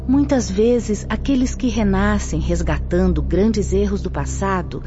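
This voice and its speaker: An actress playing a gentle, solemn female spirit teacher in a radio drama, with a Brazilian accent and reverent, declamatory phrasing. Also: medium pitch, a little slow, background noise, natural voice. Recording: dull lo-fi audio under music.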